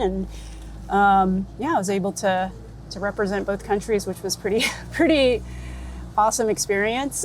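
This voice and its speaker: A woman from Florida with an American accent, chatting casually as an interviewed photographer, talkative and quick to laugh. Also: mid-range voice, darkish tone. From United States, Florida. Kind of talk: casual chat while out and about. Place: on location in a city, rooftop, car and street. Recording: outdoor city and vehicle noise.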